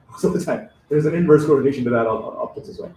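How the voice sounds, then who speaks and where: A man's voice: medium pitch, fast, darkish tone, a little hiss or hum. Direct, punchy man in an echoey café. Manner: direct, punchy rhetorical questions. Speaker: audience member. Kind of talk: live panel discussion. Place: café with live audience.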